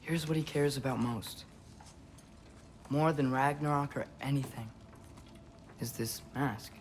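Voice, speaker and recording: A man's voice: medium pitch, a little slow, close to an everyday voice. A young actor playing an eager, earnest teenage boy.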